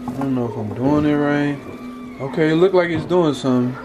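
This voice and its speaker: A man's voice: on the high side, fairly quick, over music. A man with an American accent chatting casually as an amateur home cook, muttering and repeating himself in bewilderment. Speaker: amateur vlogger cooking at home. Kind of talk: casual vlog chat. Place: small home kitchen, indoors. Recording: kitchen clatter and sizzling noise.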